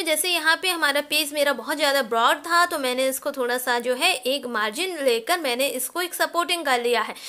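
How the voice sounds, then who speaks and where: High, bright-voiced Indian woman teaching. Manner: switches between Hindi and English; addresses pupils directly as children. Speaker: English teacher. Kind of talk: instructional lesson, explaining step by step to students.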